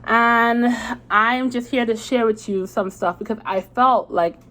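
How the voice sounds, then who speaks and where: A woman's voice: fairly high pitch, measured pace, a bit bright. A woman with a Trinidadian accent chatting casually to her viewers, rambling a little with fillers and restarts. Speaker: vlogger. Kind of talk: casual chat to camera.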